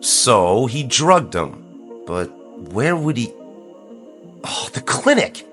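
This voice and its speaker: A man's voice: medium pitch, sing-song, slow pace, fairly bright tone, faint music behind. An actor playing an anxious, determined small-town man in an audio drama, speaking earnestly and persuasively. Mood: worried, urgent.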